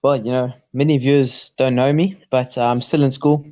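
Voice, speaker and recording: A teenage South African man, a podcast guest, speaking casually with deadpan self-mocking jokes over a remote call. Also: medium pitch, moderate pace, highs cut off. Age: teens.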